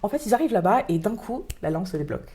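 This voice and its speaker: A woman's voice: mid-range voice, big pitch swings, racing, a crowd in the background. A woman co-hosting a podcast, rambling and restarting her sentences. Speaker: podcast co-host. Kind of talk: casual interview chat.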